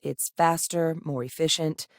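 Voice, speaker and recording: An actress playing an earnest, composed female military scientist and commander in a video game, with a measured, serious delivery. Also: sits low, fairly even intonation, no echo, studio quality. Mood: grave, resolute.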